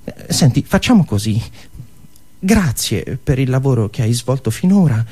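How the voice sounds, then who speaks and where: A man's voice: medium pitch, very animated, normal speed, a little mellow, husky. A man playing an awkward young banker boss in a theatrical monologue, hemming and hawing evasively as he speaks. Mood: nervous, evasive. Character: awkward, hesitant young banker boss, apologetically firing an employee.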